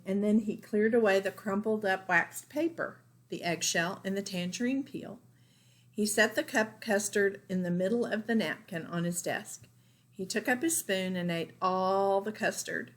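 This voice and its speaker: Woman, teacher, storybook read-aloud, expressive, mid-range voice, for young children. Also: medium pitch. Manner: expressive, pointing out pictures to listeners.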